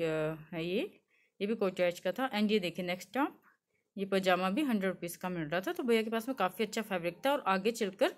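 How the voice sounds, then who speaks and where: A young woman from Delhi filming a walking tour of a street market on her phone, showing clothes and prices to her viewers in a chatty way, mixing in English words and many fillers. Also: mid-range voice. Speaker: shopping vlogger. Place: crowded outdoor street market. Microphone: handheld phone, speaker off-camera.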